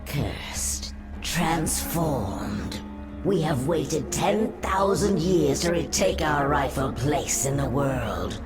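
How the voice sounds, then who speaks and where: Over very loud music, a haughty serpent sea witch in a fantasy game. She speaks extremely low and slowly, with condescending, silky menace. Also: expressive, exaggerated voice. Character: haughty, menacing female Naga sea witch, ancient and cursed. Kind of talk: dramatic video game voice acting.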